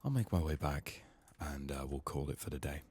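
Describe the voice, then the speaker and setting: A soft-spoken man with a sick, strained voice, muttering downbeat, sweary ASMR commentary as he plays a video game. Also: very deep voice, animated, a little fast, slight background noise.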